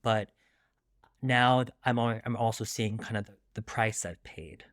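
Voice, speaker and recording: Low-pitched middle-aged man, dry close sound. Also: measured pace, fairly bright tone, no echo. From Long Island, New York. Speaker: guest author. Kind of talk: candid conversational interview.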